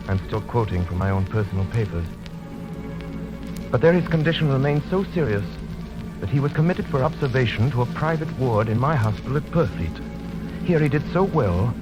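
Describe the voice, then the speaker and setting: An actor playing a haunted gentleman doctor, narrating in a formal, dramatic, deliberate 1930s radio drama style. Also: medium pitch, lightly acted. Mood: formal, sober. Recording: dull old radio broadcast.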